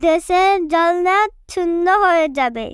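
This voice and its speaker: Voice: extremely high pitch, a little slow, music in the background. A performer voicing a bold, clever young boy, earnest and admonishing, in a fairy-tale cartoon.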